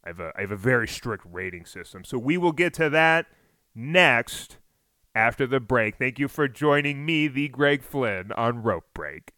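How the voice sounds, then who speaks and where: A man hosting a wrestling podcast, telling a story in a casual, energetic way, with self-deprecating asides and near shouts when he gets excited. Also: medium pitch, moderate pace, no room sound. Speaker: podcast host.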